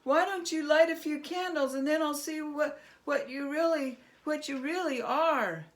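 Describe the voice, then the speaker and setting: Woman playing a wily, high-voiced storybook trickster man. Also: measured pace. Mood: feigned timidity. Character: wily man feigning fright, cheeky and defiant.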